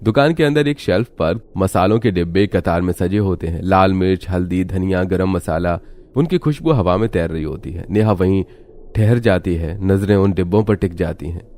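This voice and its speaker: A man with a very low voice serves as narrator. He delivers scripted story narration. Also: lively intonation, normal speed, darkish tone.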